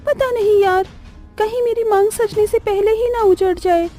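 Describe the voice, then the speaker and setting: A woman's voice: very high-pitched, steady tone, normal speed, mellow. A woman voicing an anxious young bride in a cartoon horror story, worried and dramatic. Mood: fearful, worried. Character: anxious young bride fearing for her wedding. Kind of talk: Hindi animated horror story dialogue. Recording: music bed under the voice.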